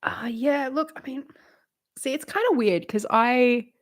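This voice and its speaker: A woman's voice: high voice, a little slow, dry recording, studio quality. A woman in her late thirties chatting casually on a live stream, rambling and candid, swearing freely and laughing often. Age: late 30s.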